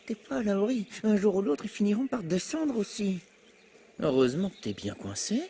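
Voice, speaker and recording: An actor playing a vain, snobbish young male apple in an animated film, pompous, disdainful and easily flustered. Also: high voice, moderate pace, slight background noise. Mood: scornful. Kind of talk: animated film dub acting.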